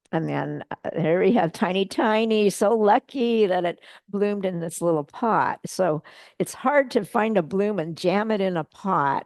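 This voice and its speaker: A low-pitched woman with a gravelly edge talks casually through a slide show, pausing often with fillers and restarting her sentences. The sound is dry and close, with little room echo. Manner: relaxed, chatty, many fillers and restarts. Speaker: guest presenter at a bonsai club meeting.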